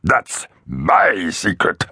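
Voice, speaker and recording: A man voicing an ugly hunched raptor king, pompous and vengeful, with a fierce gurgling rasp, drawing out his words in a storybook. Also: low-pitched, big pitch swings, bright, forceful tone, no echo, studio quality, exaggerated voice. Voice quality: gurgling, rasping, hissing. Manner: pompous, drawn-out.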